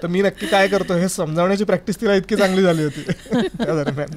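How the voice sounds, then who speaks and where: A man's voice: high voice, fast. A man, an interview guest explaining things step by step in casual conversation. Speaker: interview guest, sound designer.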